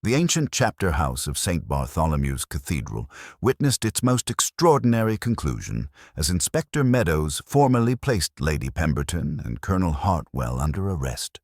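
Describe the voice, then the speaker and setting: A man reading a mystery story as scripted audiobook narration, in a formal, measured storytelling manner. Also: extremely low pitch, big pitch swings, a little mellow, dry recording, clean studio sound.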